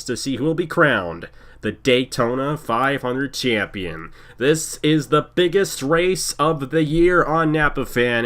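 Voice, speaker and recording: Congested male play-by-play host, bright and slightly high, sing-song race announcer. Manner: energetic announcer cadence, sing-song emphasis. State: congested from a cold. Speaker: play-by-play commentator. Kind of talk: sim racing broadcast commentary.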